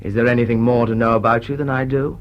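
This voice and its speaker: An actor playing a cynical, smitten upper-class bachelor lord in a period drawing-room drama, witty and arch. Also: male voice, medium pitch, fairly even intonation, fast, natural voice. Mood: smitten, earnest. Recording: dull, muffled audio.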